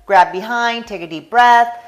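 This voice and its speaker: An American woman chiropractor talking through a stretching routine, calmly guiding breaths and holds. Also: fairly high pitch, unhurried, soft background music. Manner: calm, guiding with breathing cues. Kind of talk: instructional stretching demonstration.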